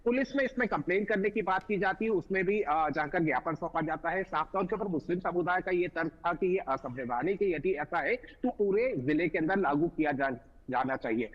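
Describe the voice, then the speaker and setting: Guy reporter live on air, high voice, wordy and halting, muffled lo-fi link. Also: lively intonation. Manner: halting, wordy, formal phrasing. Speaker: field news correspondent. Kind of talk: live unscripted news report. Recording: dull lo-fi remote link.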